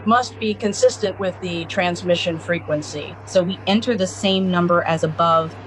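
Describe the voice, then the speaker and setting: A woman's voice: lowish voice, moderate pace, slight background noise, poor audio. A woman giving step-by-step instructional narration as a product demonstrator.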